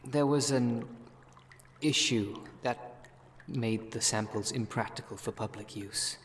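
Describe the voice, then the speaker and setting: A wry, world-weary city witch, played by a man, speaks in a medium-pitched voice with long reverb trailing behind. The delivery is conversational and sardonic, as in an immersive audio roleplay, over a noisy background. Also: slow pace, lightly acted. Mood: matter-of-fact, regretful. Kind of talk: ASMR audio roleplay, one-sided dialogue.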